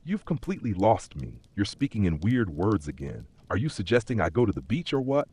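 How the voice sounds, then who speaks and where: A man playing a weary, doubtful corporate worker in a dark fantasy audio story, plain-spoken and skeptical. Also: lowish voice, sing-song, brisk, breathy edge.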